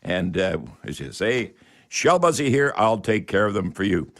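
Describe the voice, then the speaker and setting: A man hosting live call-in radio in a folksy, halting, rambling way. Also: lowish voice, sing-song, a bit bright. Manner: folksy, addresses listeners as folks; halting, rambling delivery with many hesitations. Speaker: radio host.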